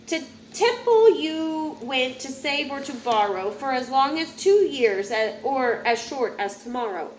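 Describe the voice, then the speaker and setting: A woman reading a rhyming children's picture book aloud in an expressive, sing-song way, occasionally stumbling over a word. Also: high voice, measured pace, hardly any pauses. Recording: roomy echo with faint background noise.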